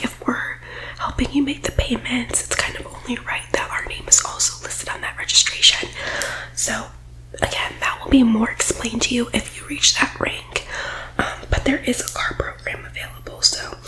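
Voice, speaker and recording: Whispering woman, bright and high. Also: steady tone, moderate pace, poor audio. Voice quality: breathy whisper. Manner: persuasive team-leader patter. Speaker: ASMR roleplay performer. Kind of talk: whispered, soft-spoken ASMR roleplay as a recruiting sales pitch.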